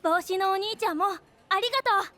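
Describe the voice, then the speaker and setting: Woman playing a very high, bright little boy. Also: moderate pace. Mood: trusting, admiring. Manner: childlike, simple, eager. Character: sweet, trusting little boy, admiring and polite. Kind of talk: anime voice drama acting.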